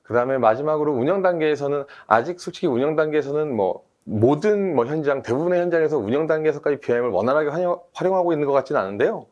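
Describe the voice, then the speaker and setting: A man's voice: fairly high pitch, animated, racing, few pauses, darkish tone, highs cut off. A man, a team leader, explaining things methodically in a webinar discussion, with frequent fillers and restarts. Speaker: team leader, industry expert guest.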